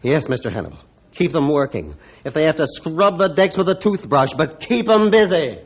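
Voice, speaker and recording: A commanding sea captain in a 1950s radio play. His voice sits fairly high, declamatory and steadfast, on a dull old recording. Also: very animated, moderate pace, slight background noise. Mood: grave. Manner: authoritative, declamatory. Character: stern, commanding male ship's captain, steadfast and reasonable.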